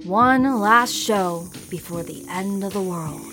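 A woman's voice: medium pitch, expressive, slow, fairly bright tone. An actress playing a defiant, passionate young band singer, blunt and sweary, in a naturalistic audio drama with music behind her. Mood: rallying, determined. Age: young adult.

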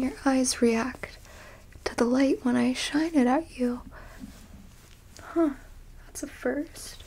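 A woman playing a haughty, curious alien examiner in a whispered ASMR roleplay, musing slowly and clinically with blunt asides. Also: sits high, exaggerated voice, low-quality recording. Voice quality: soft, breathy whisper. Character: curious, easily disgusted, haughty alien abductor examining a human.

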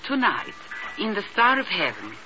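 An actress playing a proud, coolly threatening woman informant, speaking formally and deliberately in a radio drama. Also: medium pitch, huge pitch swings, music in the background. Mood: coldly determined. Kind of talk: 1950s radio drama acting. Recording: noisy, dull 1950s radio recording.

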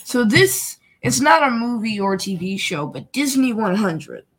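Husky-voiced playful woman co-host. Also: fairly high pitch, animated, measured pace. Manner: playful, teasing. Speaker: podcast co-host. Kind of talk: casual podcast conversation.

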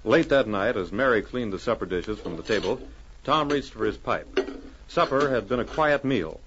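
A man's voice: fairly low pitch, animated, normal speed. A man narrating a radio drama as announcer, in a formal, declamatory 1940s style. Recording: muffled old radio recording.

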